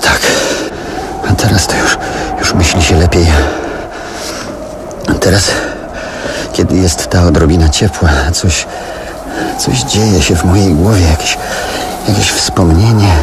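Radio drama lead: hunted survivor narrating his ordeal, deep voice, tense resolve, noisy recording. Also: steady tone, measured pace, pauses often. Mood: tense, resolute. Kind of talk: dramatic first-person radio play narration.

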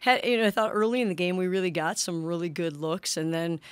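A woman in her forties, a head basketball coach, answering questions at a postgame press conference in a measured way, often restarting her sentences. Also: lowish voice, clear, resonant tone, no echo. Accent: American. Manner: measured, frequent restarts and "you know". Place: press room in front of a sponsor backdrop. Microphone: desk microphone on a stand.

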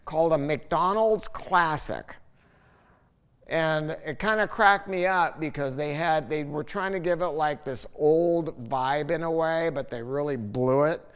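An older American man in his sixties talks off the cuff with a smooth, resonant voice. He is opinionated and a little salty, wandering through his points like a storyteller. His voice rings with long reverb in a big, high-ceilinged room. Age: 60s. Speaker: audio dealer presenting a speaker review. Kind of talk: casual, unscripted product talk to camera. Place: large living room at home, indoors.

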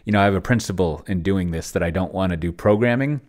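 A man's voice: low-pitched, fast, a little mellow. A man giving casual screen-share commentary, thinking aloud in a rambling, hesitant way with wry, self-deprecating humour. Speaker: solo tabletop roleplayer, video host. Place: indoors at a computer, screen recording.

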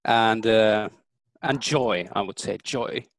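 A man in his thirties, a native German speaker, giving earnest, thoughtful interview answers with frequent pauses and ums. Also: lowish voice, no room sound. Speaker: interview guest.

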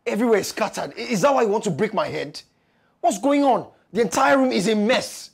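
An actor playing a harried young husband with a Nigerian accent, hesitant and repeating himself in a film drama. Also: male voice, lowish voice, big pitch swings, moderate pace, fairly bright tone, breathy edge, full character voice. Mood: alarmed, exasperated. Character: harried, placating young husband under family pressure.

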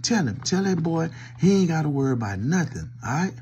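A man's voice: fairly high pitch, big pitch swings, a little fast, darkish tone, slight background noise. A middle-aged man with a cold and a stuffy nose, doing a teasing one-sided pretend call into his phone from a small room. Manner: loose, teasing, talking to an unseen listener. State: sick with a cold, coughing. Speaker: comedy vlogger. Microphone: phone held close.

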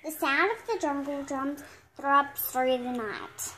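Little girl about 8 reading a storybook out loud, really high voice, slow and halting. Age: child, 8 years old. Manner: halting, word-by-word read-aloud with stumbles. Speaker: young reader. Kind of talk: reading a picture storybook aloud. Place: indoor room at home.

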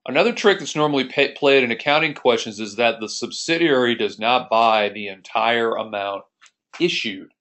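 A man's voice: medium pitch, moderate pace, a bit bright. An American man giving an explanatory screencast lesson as an accounting instructor, explaining methodically and step by step.

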